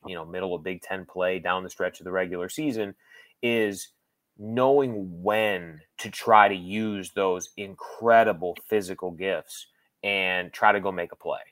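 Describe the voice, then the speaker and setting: A man working as a sports broadcaster gives conversational analysis as a guest, thinking aloud in a halting way with repeated phrases. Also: fairly low pitch, a bit bright, dry recording.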